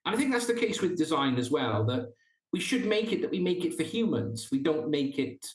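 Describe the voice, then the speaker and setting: A man's voice: on the high side, sing-song, a little fast, reverberant. A man from South Wales with a Welsh accent, a podcast guest speaking earnestly and often restarting his sentences. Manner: earnest, with frequent restarts and stumbles. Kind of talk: casual interview chat.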